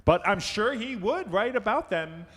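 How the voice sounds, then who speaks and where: A man's voice: high-pitched, big pitch swings, a little slow, fairly bright tone, heavily acted. A man playing a polite, patient pop-culture podcaster with a courtly, hesitant manner in a live radio comedy. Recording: reverberant hall stage recording.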